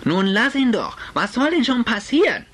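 A man's voice: medium pitch, huge pitch swings, brisk, pushed and bright, pitched above the performer's own voice, full character voice. A man doing a nervous, placating mother. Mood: pleading. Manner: anxious, placating, overly cheerful. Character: nervous middle-aged housewife and mother.

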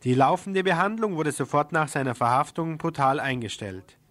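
Higher-voiced man reading radio news. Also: expressive, normal speed, a bit bright, no room sound.

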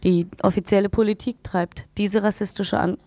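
A woman's voice: mid-range voice, fairly even intonation, moderate pace, dark tone. A woman radio presenter reading news and programme announcements in an earnest, factual manner.

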